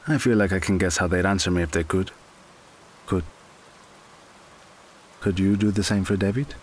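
Actor as kind consoling guy friend, low voice, measured audio drama delivery, some hiss. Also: fairly even intonation, natural voice. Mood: coaxing. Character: gentle, patient male friend, orphaned young, consoling. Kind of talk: naturalistic audio drama acting. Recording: clear background hiss.

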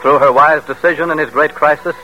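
A man's voice: on the high side, steady tone, a little fast, sharp tone, faint music behind. An American man hosting a 1940s radio program with formal, dramatic scripted narration. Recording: dull vintage radio recording.

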